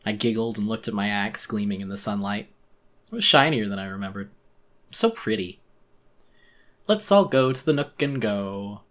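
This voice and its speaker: A man's voice: on the low side, lively intonation, normal speed, long pauses, fairly bright tone. A man narrating a first-person horror story as a theatrical dramatic reading.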